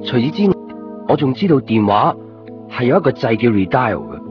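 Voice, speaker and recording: A man's voice: medium pitch, big pitch swings, brisk, highs cut off, close to an everyday voice. Actor as pensive copy-shop man, music behind. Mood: reflective. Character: observant, introspective copy-shop man who collects copies. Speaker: drama narrator and lead. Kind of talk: radio drama monologue. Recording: band-limited 1990s radio recording, music behind. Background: clear background music.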